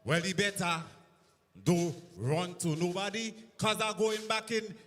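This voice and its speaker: High-voiced calypsonian, reverberant. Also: male voice, a bit bright, soft background music. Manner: slow, storytelling calypso delivery. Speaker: calypso performer. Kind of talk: live calypso performance. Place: outdoor stadium stage. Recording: reverberant live stadium sound.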